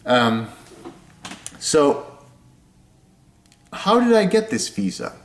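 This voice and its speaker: A young man from Indonesia, explaining things step by step in a casual vlog, talking slowly and often repeating or correcting himself. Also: fairly high pitch, sing-song. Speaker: vlogger. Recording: echoey room.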